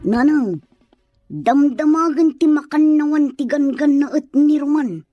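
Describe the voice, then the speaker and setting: A woman's voice: high voice, a little slow, dry recording, muffled recording, faint music behind. A woman playing an anxious, protective elder sister, pleading with concern in a Filipino radio drama. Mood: worried.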